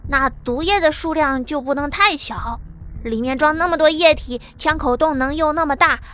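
A woman's voice: sits high, moderate pace, fairly bright tone, faint music behind. Woman voicing a brash male workshop boss. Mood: explaining. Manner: eager, explains technical ideas at length. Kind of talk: audiobook drama dialogue.

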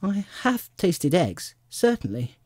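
Little girl played by a storybook reader, very high pitch, polite, earnest, puzzled, animated swoops. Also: slow, no echo, studio quality. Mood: earnest, flustered.

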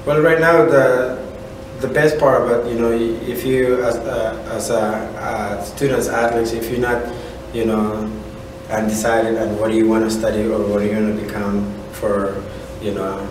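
Male soccer coach, thirties, native Spanish speaker with Central American accent, halting and casual, very echoey room, distant from mic. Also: on the low side, level tone, noisy background. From Honduras. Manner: halting, repeats "you know". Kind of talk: casual interview answers. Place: indoor room at a college.

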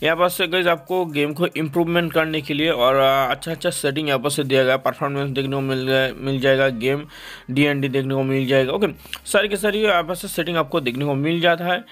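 A man's voice: on the high side, steady tone, fairly quick, fairly bright tone. A man hosting a casual step-by-step phone tutorial, addressing viewers directly and repeating his instructions. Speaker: tech tutorial host. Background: background music.

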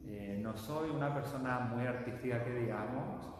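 A man in his twenties from Paraguay with a Paraguayan Spanish accent, teaching a Bible lesson to a camera in a small room with a whiteboard, speaking slowly and deliberately. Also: mid-range voice. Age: 20s. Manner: slow, deliberate, gestures while speaking. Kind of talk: warm-toned classroom lecture to camera. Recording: very echoey room with a long reverb tail and faint noise.

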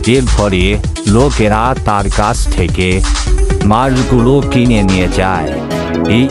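A man telling a folk tale as a scripted storyteller. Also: on the low side.

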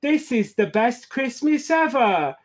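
A storyteller voicing an excitable, eager little girl cartoon pig from a storybook. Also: medium pitch, slow pace, pitched above the performer's own voice. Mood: excited.

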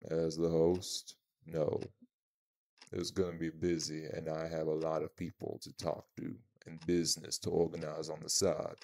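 Man playing imposing vampire spouse, very low voice, creaky, deadpan, haughty, protective, audio roleplay. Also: normal speed. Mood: measured.

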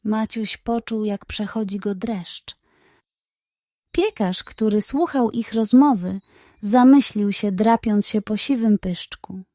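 Slow-paced woman narrating a bedtime story. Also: mid-range voice, warm tone, dry recording, studio quality.

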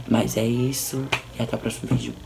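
A young man, a little hoarse, chatting playfully to camera in a small room. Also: mid-range voice, little pitch movement, moderate pace, noisy background. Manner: chatty, playful, teasing asides. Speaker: vlogger. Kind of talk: casual commentary on soap opera clips. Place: small indoor room by a curtain.

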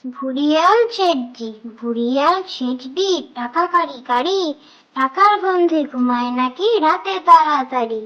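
A woman reciting children's nursery rhymes in sing-song, measured phrases. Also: sits high, lively intonation, pushed and bright, muffled recording.

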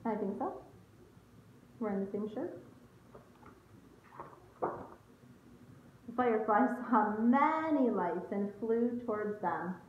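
A woman in her thirties reads a picture book to young children with a very animated, swooping intonation. She pauses to put questions to her listeners. The sound is thin and phone-like, in a roomy space at home with faint noise. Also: mid-range voice. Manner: expressive, questioning, speaking to children. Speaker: teacher reading aloud to young children. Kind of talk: picture-book read-aloud with asides to children. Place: small room at home.

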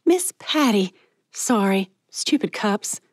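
A woman's voice: expressive, a little slow, darkish tone, breathy edge, no echo, studio quality. A woman narrator voicing a nervous, apologetic middle-aged woman with a flustered manner in an audiobook. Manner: flustered, squeaky under pressure.

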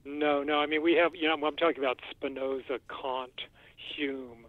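A man's voice: on the high side, fairly even intonation, normal speed, no room sound. Bookstore clerk, a man over the phone. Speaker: bookstore clerk taking a call. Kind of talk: casual phone chat. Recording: dry, narrow phone line.

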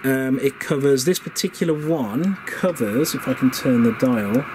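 A man's voice: medium pitch, normal speed. A middle-aged man, casually and enthusiastically showing gear on camera in an unscripted, chatty way. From England. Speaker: vlog host showing vintage radio gear. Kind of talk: casual unscripted product show-and-tell. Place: indoor workshop shed. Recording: clearly noisy room background.

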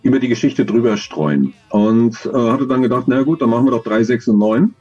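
A man in his forties, an audio drama author, talking thoughtfully in an interview over a video call, with many pauses and fillers. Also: mid-range voice, lively intonation, darkish tone, slightly rough, dull audio. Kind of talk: relaxed conversational interview.

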